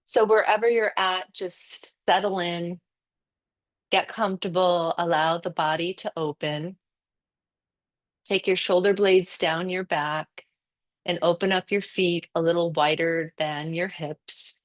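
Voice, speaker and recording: A woman teaching yoga nidra with a very slow, spacious delivery. Her voice sits a little low, and she gives gentle step-by-step cues for breathing and settling the body, leaving room between phrases. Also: fairly even intonation. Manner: gentle, unhurried guiding with pauses. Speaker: yoga instructor.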